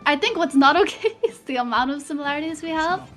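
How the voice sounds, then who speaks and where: A woman's voice: high-pitched, animated, fast, a bit bright, soft background music. A woman with a slight German accent, a guest in an online interview, answering hesitantly and rambling.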